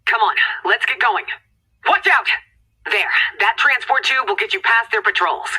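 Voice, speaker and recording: A woman's voice: on the high side, expressive, moderate pace, pushed and bright, husky, exaggerated voice, poor audio. A woman playing an urgent, commanding scientist in a video game, giving terse, tense mission directions.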